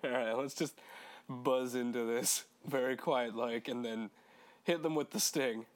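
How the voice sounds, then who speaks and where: A man's voice: mid-range voice, fairly even intonation, measured pace. A young man co-hosting a casual podcast, a little sick and slightly nasal, joking and making puns. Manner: jokey, punning, laughing often. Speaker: podcast co-host.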